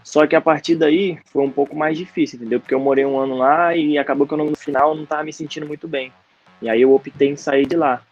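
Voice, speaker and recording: A man's voice: on the high side, steady tone, fast, soft background music. Young Brazilian man, mid-20s, easygoing guest, dull call audio. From Brazil, Brasília. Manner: easygoing, slangy. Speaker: guest footballer. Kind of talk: casual interview chat. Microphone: video call.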